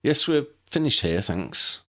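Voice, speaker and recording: A man's voice: medium pitch, very animated, a little slow, pushed and bright, pitched above the performer's own voice. A man voicing a polite young wizard who leads his team in a storybook tale.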